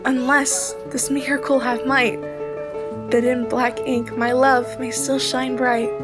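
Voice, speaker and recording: A woman's voice: high voice, flat delivery, slow, loud music behind the voice. A young woman delivering a Shakespeare sonnet as a dramatic monologue. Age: young adult. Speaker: student drama performer.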